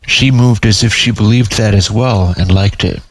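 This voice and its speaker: A man's voice: on the low side, moderate pace, low-quality recording. A man narrating a piece of fiction, reading his own story aloud.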